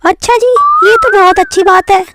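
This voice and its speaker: A woman's voice: extremely high pitch, normal speed, very noisy. A woman voicing a chatty young female relative in a cartoon family story, with a familiar manner.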